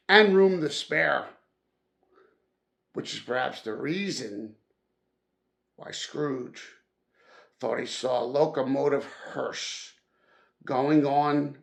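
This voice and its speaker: A man reading a classic story aloud as a narrator, with deliberate, dramatic phrasing and emphatic stresses. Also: mid-range voice, creaky, a bit bright. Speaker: audiobook-style reader.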